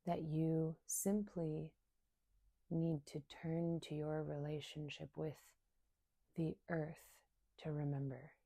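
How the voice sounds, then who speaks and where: A woman leading a guided meditation in a soft, soothing, unhurried way, leaving long pauses between short phrases. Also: sits low, little pitch movement, gravelly, no room sound. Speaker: meditation guide and somatic coach.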